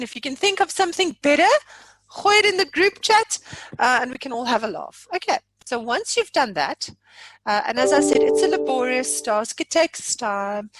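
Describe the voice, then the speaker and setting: A South African woman giving an informal software demonstration, chatty and jokey, poking fun at herself. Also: a little high, no echo, rough recording. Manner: chatty, jokey, self-deprecating. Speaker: software trainer.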